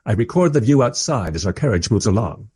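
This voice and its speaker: An actor playing a mild, polite time-travelling interviewer who narrates his story wryly in a radio play, with a husky voice. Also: fairly low pitch, big pitch swings, fairly quick, a little mellow, a little hiss or hum. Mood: curious. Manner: wry, polite. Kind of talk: first-person radio play narration and dialogue.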